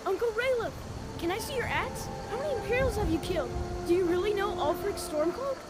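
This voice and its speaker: An actor as a high-voiced eager boy. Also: a little fast, loud music behind the voice. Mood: excited. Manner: excitable, pleading. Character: eager, brave young village boy. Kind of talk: video game voice acting.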